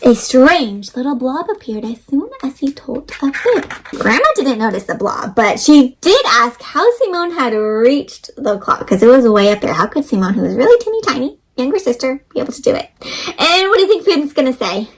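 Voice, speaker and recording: A woman's voice: high-pitched, breathy edge. A woman hosting an interactive read-aloud for young children, speaking expressively and putting questions to her listeners. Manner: animated, expressive, asks listeners questions with pauses.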